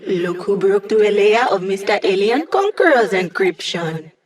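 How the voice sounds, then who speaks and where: A woman's voice: medium pitch, animated, normal speed, lightly acted. An actress playing a young female hacker and tech ally in a video game, upbeat, casual and playfully sardonic. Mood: amused, snarky.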